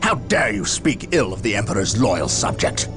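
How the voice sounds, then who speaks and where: A low-voiced, righteous space soldier from a video game, stern and commanding. His clipped speech rises and falls with forceful conviction. Dramatic music runs underneath. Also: big pitch swings, normal speed. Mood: indignant, demanding. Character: stern, righteous male armored warrior commander. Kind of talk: dramatic military game acting.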